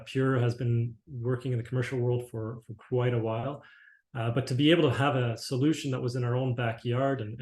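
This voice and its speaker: A man from Canada giving a webinar presentation for his company, rambling with many restarts and fillers. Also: mid-range voice. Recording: echoey room.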